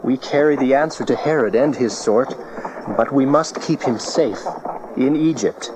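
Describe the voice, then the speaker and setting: Steady, devout husband played by a man, noisy tape. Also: mid-range voice, a little slow, lightly acted. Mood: earnest, assured. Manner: earnest, assured, reassuring. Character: devout, steady husband and protective father fleeing danger. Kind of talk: dramatized Bible audio drama. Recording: noisy old cassette tape.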